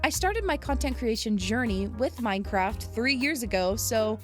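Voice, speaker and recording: A woman's voice: a little high, lively intonation, moderate pace, soft background music. A woman narrating a vlog in an enthusiastic, earnest way. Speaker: aspiring voice actor, content creator.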